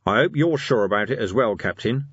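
A man's voice: medium pitch, expressive, brisk, husky, no echo, muffled recording. A man voicing a stern, sardonic police commander in an audiobook story, terse and commanding.

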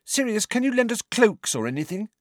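A man's voice: sits high, big pitch swings, a little fast, no echo, clean studio sound, voice pushed up. A man voicing a teenage girl who pleads urgently, in an audiobook reading.

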